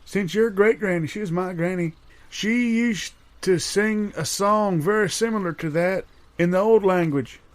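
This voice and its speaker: A man's voice: high voice, a little slow. A man playing a forgetful old grandpa, rambling through folksy reminiscences in improvised audio comedy. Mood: rambling, wistful. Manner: meandering, folksy storytelling.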